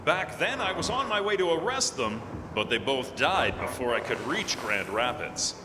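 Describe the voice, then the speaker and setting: A man playing a stern, authoritative police corporal, formal and deliberate, in an old-time radio adventure. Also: mid-range voice, big pitch swings, close to an everyday voice. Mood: regretful. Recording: reverberant hall, clear background noise.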